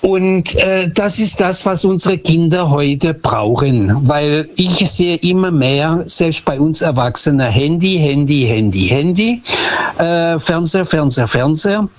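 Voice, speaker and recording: Higher-voiced earnest man from Portugal. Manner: earnest, repeats words for emphasis. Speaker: radio call-in caller. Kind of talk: personal storytelling.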